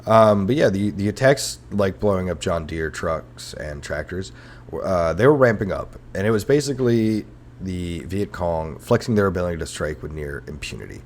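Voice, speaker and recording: A man's voice: low voice, lively intonation, moderate pace. A man hosting a comedic history podcast in a rambling, sarcastic, self-mocking monologue. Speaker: podcast host.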